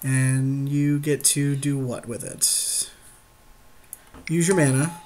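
A man's voice: mid-range voice, fairly even intonation, slow pace, darkish tone, a little hiss or hum. A man co-hosting casual gameplay commentary in an agreeable, conversational way. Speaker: podcast co-host playing a card game.

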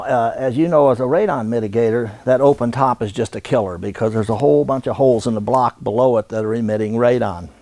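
An American man in his sixties giving an unscripted instructional demonstration in a halting, folksy way. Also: medium pitch, gravelly, darkish tone, no echo. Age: 60s. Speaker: radon supply business owner and instructor. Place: indoor warehouse.

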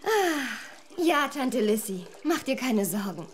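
A sensible young girl in a children's audio drama. Her high voice is natural, firm and direct, with faint noise behind it. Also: very animated, takes it slowly. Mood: hurried, reassuring. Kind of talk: children's radio play acting.

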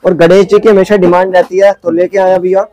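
Male vlogger, upbeat shop-tour host, slightly high voice, dry sound. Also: flat delivery, a little fast, mellow, dry recording. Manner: enthusiastic, keeps praising pieces. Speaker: market vlogger. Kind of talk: casual shop-tour vlog. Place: small crowded gift shop indoors.

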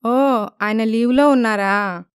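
A woman's voice: fairly high pitch, slow pace, clear, resonant tone, no room sound, clean studio sound. A woman voicing an anxious young wife in a cartoon story, polite and plaintive.

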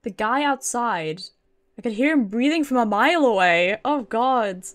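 A woman's voice: on the high side, expressive, normal speed. A British woman doing casual, chatty game stream commentary, swearing freely and reacting aloud as she plays.

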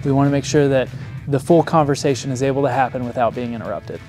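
A man's voice: mid-range voice, fast, darkish tone, soft background music. A man in his thirties giving a plain-spoken instructional presentation as a flight instructor, recorded on a lapel mic indoors. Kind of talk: scripted instructional presentation to camera. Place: indoor studio set, seated.

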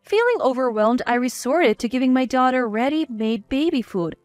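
A woman's voice: high-pitched, expressive, normal speed, darkish tone, no room sound, faint music behind. A woman reading a scripted first-person story as its narrator.

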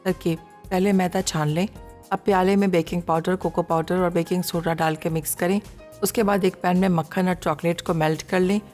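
A woman reads out recipe ingredients and steps in a steady, measured voice-over, with a Pakistani accent. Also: lowish voice, long reverb tail, soft background music. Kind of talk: scripted recipe narration.

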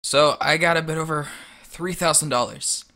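A man acting in an audio drama as a subdued, earnest young man with a halting way of talking. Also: on the high side, bright, forceful tone. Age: young adult. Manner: halting, hesitant.

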